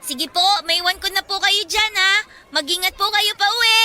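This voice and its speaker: A woman's voice: extremely high pitch, moderate pace, sharp tone, slight background noise, full character voice. A woman playing a sassy, cheeky young woman in a Tagalog radio drama, pert and sarcastic, with a Filipino accent. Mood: polite. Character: sassy, food-loving young woman, cheeky and grudge-holding. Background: music.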